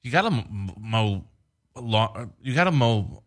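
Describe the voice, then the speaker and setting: A man with a low, deep voice chats as a podcast guest. He jokes in a deadpan way and riffs on silly ideas in casual conversation. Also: unhurried. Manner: deadpan, joking. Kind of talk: casual chat.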